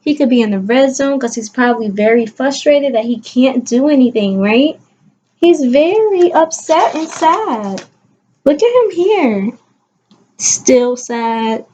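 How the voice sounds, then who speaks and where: A woman kindergarten teacher giving an expressive read-aloud lesson to young children, coaxing listeners with questions and stressing key words. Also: fairly high pitch, highs cut off, slight background noise.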